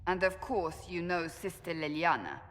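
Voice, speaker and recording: A woman playing a stern, resolute warrior in a game cutscene, speaking formally and in a measured way. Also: lowish voice, animated, fairly bright tone. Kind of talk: video game cutscene acting. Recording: long reverb, clear background noise.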